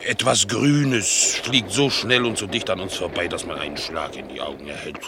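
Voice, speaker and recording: An actor playing a naive, good-natured pet dog in a German radio play, excitable and full of wide-eyed wonder. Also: male voice, low voice, moderate pace, noisy background.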